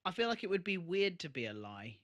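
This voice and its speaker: A man's voice: high-pitched, big pitch swings, fairly quick, fairly bright tone. A man co-hosting a podcast, chatting casually in an agreeable, informal way. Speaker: podcast co-host. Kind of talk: casual chat, re-watch commentary.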